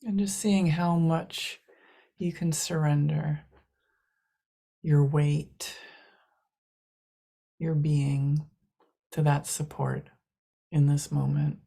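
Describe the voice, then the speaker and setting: A woman leading a guided meditation in a soft, hushed manner, leaving long pauses between short phrases. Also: sits low, flat delivery, creaky, slightly rough.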